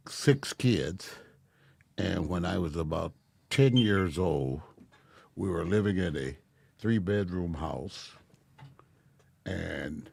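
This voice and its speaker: A man in his eighties, a podcast guest telling stories about his life in a soft-spoken, wry, self-mocking way. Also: sits low, gravelly. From Delray. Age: 80s. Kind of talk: casual storytelling interview.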